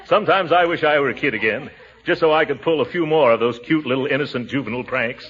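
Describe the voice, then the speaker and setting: A man's voice: medium pitch, fast talker, close to an everyday voice. A man playing a pompous, mischievous middle-aged uncle in 1940s radio comedy style, theatrical and given to indignation and hearty chuckles. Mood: nostalgic, amused. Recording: noisy, muffled 1940s radio recording.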